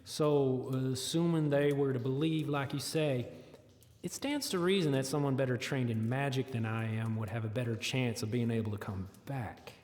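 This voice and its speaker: A folksy, guarded fantasy fugitive, acted by a man in an audio drama. He talks in rustic, drawling phrases at a middle pitch. The voice sits in a roomy, long reverb. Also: moderate pace, a little mellow, lightly acted. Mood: wary, reasoning.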